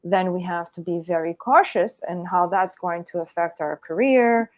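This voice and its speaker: A woman with a somewhat low voice talks as an interview guest. Her sound is dry and close, with no room around it. She speaks in a measured, deliberate way and pauses often to think. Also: dry recording. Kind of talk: reflective interview talk.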